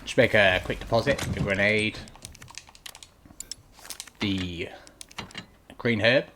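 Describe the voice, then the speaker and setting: A man with a fairly low voice gives casual gameplay commentary as a walkthrough. Clear noise from game sounds runs behind his talk. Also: takes it slowly. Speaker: gamer giving a walkthrough.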